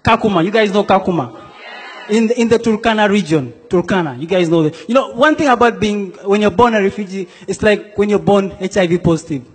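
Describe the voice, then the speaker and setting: Young guy from south sudan doing stand-up, really high voice, big hall with laughter. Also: a little fast, breathy edge. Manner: playful, acts out quoted characters. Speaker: stand-up comedian. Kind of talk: stand-up comedy set. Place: comedy stage in a large hall before a laughing audience.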